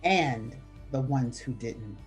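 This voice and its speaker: A woman reading a picture book aloud as a guest reader, with measured, deliberate phrasing. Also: a bass voice, expressive. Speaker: school principal, guest reader. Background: background music.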